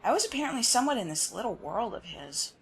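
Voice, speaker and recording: A woman's voice: a little high, very animated, fast talker. A woman playing a confident, no-nonsense female agent in a fan audio drama. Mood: wry.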